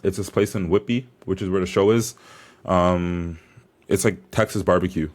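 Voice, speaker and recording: A man's voice: low voice, fairly even intonation, moderate pace. A young man vlogging as a bodybuilder, chatting casually to camera in a hyped, informal, slangy way.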